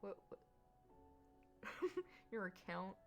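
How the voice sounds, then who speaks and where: A woman streamer giving casual, excitable commentary while playing a game, swearing freely. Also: medium pitch, very slow, creaky. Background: faint background music.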